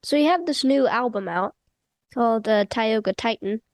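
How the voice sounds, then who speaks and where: A woman's voice: fairly high pitch, lively intonation, moderate pace, no echo. A woman hosting an interview podcast, sounding nasal from a cold and asking easygoing questions. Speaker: podcast host.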